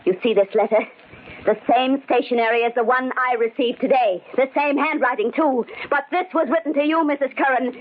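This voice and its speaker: An actress playing a frightened but steely wronged woman in an old-time radio thriller, speaking dramatically and deliberately. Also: sits high, steady tone, phone-quality sound. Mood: coldly triumphant. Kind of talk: old-time radio thriller acting and first-person narration. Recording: band-limited old radio drama with music.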